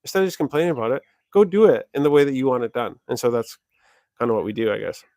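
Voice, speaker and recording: A man's voice: mid-range voice, expressive, quick pace, warm tone, dry recording. A young man from Canada hosting a livestream, answering viewer questions in a loose, rambling way full of "like" fillers and restarts. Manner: rambling, many "like" fillers and self-corrections. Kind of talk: casual livestream Q&A.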